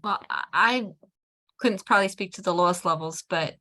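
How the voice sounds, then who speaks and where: A woman who teaches adult English learners, offering practical classroom advice in a relaxed discussion with other teachers. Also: on the low side. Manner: measured, practical advice with fillers. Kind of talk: casual discussion among teachers.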